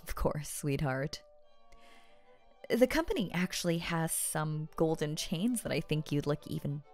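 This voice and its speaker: A woman acts out a rich older yandere girlfriend in an ASMR roleplay. She speaks very slowly in a fairly low voice, coy and halting, doting on her partner with an obsessive edge. Faint music plays behind her. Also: creaky. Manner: coy, halting, stammering, cooing pet names. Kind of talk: ASMR roleplay audio acting.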